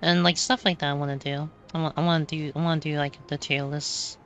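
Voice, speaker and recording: A woman doing casual gameplay commentary, rambling and halting as she repeats words. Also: deep voice, steady tone, a little hiss or hum. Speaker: video game streamer.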